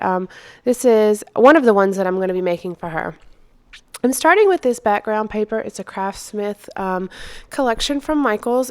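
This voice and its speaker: An American woman with a stuffy, congested voice gives a low-key, casual voice-over as a craft video host. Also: smooth. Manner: low-key, not very peppy. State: congested from being under the weather.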